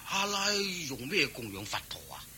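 An excitable, pious father in a Cantonese radio play from Hong Kong. His voice is very bright and swoops with exclamations and questions, over faint background noise. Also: a little high, normal speed, heavily acted. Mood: amazed. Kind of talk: radio drama acting.

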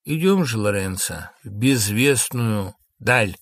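A man's voice: on the low side, animated, takes it slowly, a bit bright, no echo, full character voice. Stage drama duke read by a male performer: proud, agitated, declamatory, pleading, in a dry close sound. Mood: solemn, grave. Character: anguished, imperious Italian duke, proud and wildly agitated. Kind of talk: dramatic play reading.

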